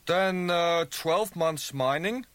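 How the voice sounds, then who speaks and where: A man voicing a burly, hard-drinking Danish spaceship crewman in an audiobook, loud, blunt and offhand, with a Danish accent. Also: on the high side, slow pace, dry recording, pitched above the performer's own voice. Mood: matter-of-fact. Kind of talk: audiobook character dialogue.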